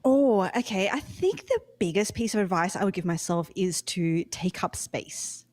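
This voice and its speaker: A woman with an Australian accent, a company founder speaking as a guest, chatty and bubbly and quick to laugh. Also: medium pitch, lively intonation, darkish tone. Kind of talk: casual interview talk.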